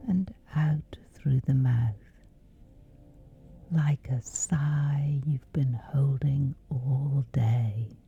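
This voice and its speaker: A woman with a whispery voice, slowly and gently guiding a sleep meditation. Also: rumbling low, creaky, slightly rough, highs cut off. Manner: slow, soothing, unhurried. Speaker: meditation guide.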